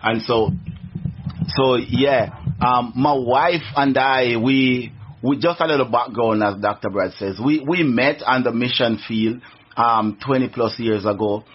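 A man's voice: mid-range voice, unhurried, husky. A middle-aged man from Jamaica with a Jamaican accent, a radio guest telling his story earnestly, with many restarts. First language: Jamaican Patois. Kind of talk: casual interview, testimony. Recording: muffled radio recording.

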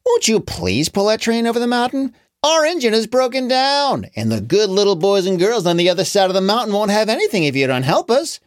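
A reader doing high pleading toy dolls. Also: very animated, brisk, no room sound, clean studio sound, natural voice.

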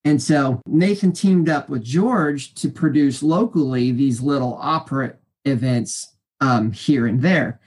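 A man in his forties with a naturally high voice, rambling cheerfully through stories as an interview guest, full of fillers and restarts. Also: unhurried, a little mellow, husky. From Kansas City area, US. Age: 40s. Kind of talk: casual interview storytelling.

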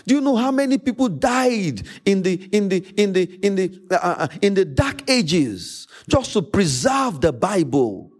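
Male pastor, Nigerian accent, Yoruba native. High-pitched, swooping delivery; emphatic sermon with repeated phrases and dramatic pauses. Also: very animated, husky. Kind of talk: preaching a sermon.

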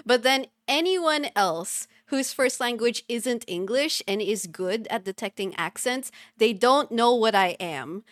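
A woman from the Philippines hosting a podcast, explaining her views in measured, thoughtful casual chat. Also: fairly high pitch, animated, fairly bright tone, no room sound, studio quality. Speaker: podcast host.

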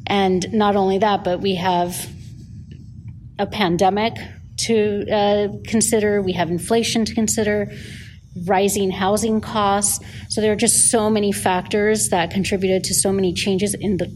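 A woman's voice: medium pitch, flat delivery, a little slow, darkish tone, rough recording. A woman giving spontaneous interview answers as a city analyst, explaining things informally. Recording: interview with clear background noise.

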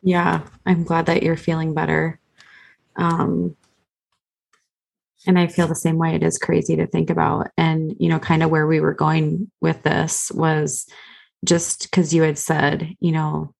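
Woman co-host, low, gravelly voice, loose and informal. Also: monotone, a little slow, a little mellow. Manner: loose and informal, many fillers. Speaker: podcast co-host. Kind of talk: casual faith podcast chat.

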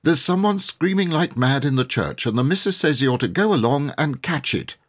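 A man voicing a pert, plain-spoken young housemaid in a storybook, with brisk, colloquial servant speech. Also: on the high side, animated, voice pushed up. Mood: urgent.

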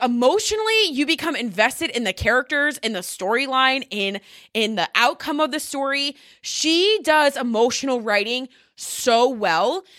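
A woman's voice: high voice, a bit bright, dry recording, clean studio sound. A woman talking casually and emphatically in a gushing, conversational monologue, like a vlogger sharing her favorites. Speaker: vlogger recommending favorite books. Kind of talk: casual chatty storytime monologue to camera.

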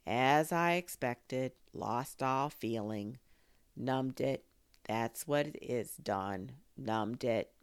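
A mournful old donkey grumbles along in a slow, low, gravelly drawl, a woman putting on his voice. He is dry and close to the mic. Every complaint sounds put-upon and resigned. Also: no echo, exaggerated voice. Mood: gloomy complaining.

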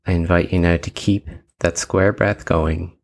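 Deep, slow, creaky-voiced male meditation guide. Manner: calm, measured instructions with long pauses.

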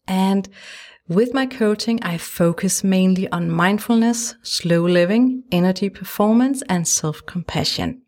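A woman from Denmark with a Danish accent, hosting her own podcast from a small home studio in a gentle, encouraging way. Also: medium pitch, measured pace. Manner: gentle, encouraging, laughs lightly now and then. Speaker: podcast host and life coach. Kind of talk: solo podcast monologue. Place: small home studio lined with sound panels.

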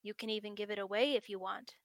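A woman reading the lines of a gentle middle-aged father in a bedtime story, in a soft, tender tone. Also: mid-range voice, steady tone, fast talker, dry recording, studio quality, lightly acted.

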